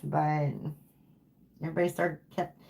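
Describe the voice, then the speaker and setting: A woman's voice: deep voice, level tone, normal speed, creaky, darkish tone. A woman giving a live tarot reading to viewers, casual and chatty, tired and saying she is losing her voice. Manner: casual, repeats "okay?" and "like". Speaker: tarot reader.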